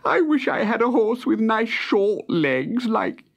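A storyteller voicing a tearful soldier guard in a children's storybook, speaking high and wailing through sobs. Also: wildly swooping pitch, slow pace, fairly bright tone, lo-fi sound, exaggerated voice. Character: sobbing soldier guard, adult man, tearful.

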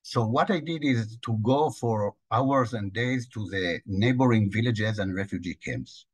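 A man in his sixties with an Israeli accent, giving an online history lecture over a video call, halting and repeating words as he searches for phrasing. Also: on the low side, breathy edge, dry recording. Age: 60s.

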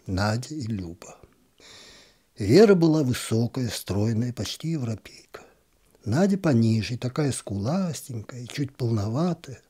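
A man reading a literary short story aloud in a calm, even storytelling manner, now and then dropping to a whisper. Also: mid-range voice, breathy edge. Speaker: narrator.

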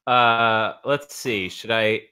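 A man's voice: fairly low pitch, takes it slowly, bright, forceful tone, clear, resonant tone. A man reading comic material aloud in a mock-earnest way, mixed with casual banter. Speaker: podcast panelist reading documents aloud.

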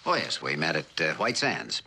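An actor playing an earnest, stubborn male scientist in a mid-century television drama, speaking in a formal, measured, persuasive way. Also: extremely low pitch, very animated, bright, forceful tone, slight background noise, exaggerated voice. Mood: polite, relieved. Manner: formal, measured, deliberate speechmaking delivery.

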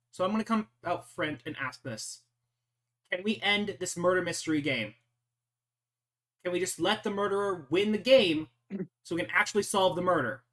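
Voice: mid-range voice, fairly bright tone. Game master voicing blunt, fed-up murder mystery character. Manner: blunt, earnest.

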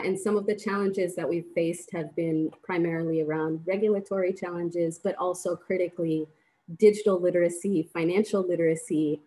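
A woman's voice: fairly low pitch, a little mellow, rough recording. Earnest woman on a webinar call. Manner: measured, earnest, explanatory. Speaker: panelist. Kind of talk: webinar presentation. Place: small bedroom at home. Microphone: laptop webcam on a video call.

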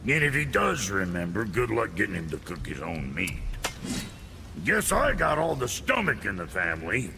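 A man playing a gruff, foul-mouthed, irritable blacksmith in a video game, with a gravelly, grumbling delivery. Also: lowish voice, huge pitch swings, normal speed, heavily acted. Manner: cantankerous, crude, grumbling. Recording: reverberant, some background noise.

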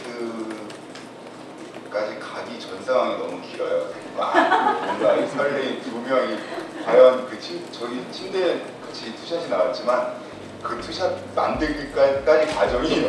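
A man's voice: medium pitch, background noise. A man in his thirties at a press event, talking earnestly into a handheld mic and chuckling as he explains. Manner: earnest, laughs while explaining. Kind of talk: press interview answers. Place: indoor press conference hall. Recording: echoey hall from far off.

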